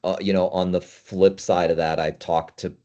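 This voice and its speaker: Deep-voiced, mellow man in casual conversation, muffled audio. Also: level tone, moderate pace. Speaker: podcast guest, climbing gym consultant.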